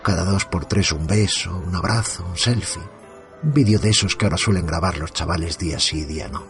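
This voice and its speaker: Actor como padre atormentado, voz grave y rasgada. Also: male voice, low-pitched, faint music behind. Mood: subdued, gloomy. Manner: somber, measured, dramatic. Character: tormented father haunted by evil, telling his story. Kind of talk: first-person horror narration.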